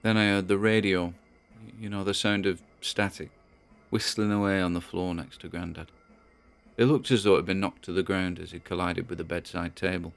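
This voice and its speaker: A British man playing a troubled grandson who records a halting, confiding audio diary in an audio drama. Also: deep voice, creaky. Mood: brooding, uneasy.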